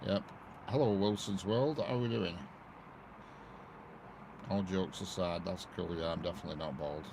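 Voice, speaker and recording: Man, Lancashire accent, deep voice, gravelly, trackside live stream, chatty, loud outdoor noise behind. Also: normal speed. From northwest England. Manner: chatty, greets viewers one by one, laughs often. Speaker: railway livestreamer. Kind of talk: casual live-stream chat. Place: outdoors by a railway line.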